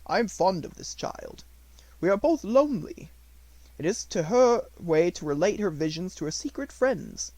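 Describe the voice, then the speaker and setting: A devoted Indian manservant from a children's storybook, acted out by a male reader. He is respectful and watchful, with formal, poetic phrasing. The voice is raised and melodic, with a little creak, recorded dry. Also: high-pitched, very animated, moderate pace, darkish tone, dry recording, pitched above the performer's own voice, exaggerated voice, rough recording. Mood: wistful, earnest.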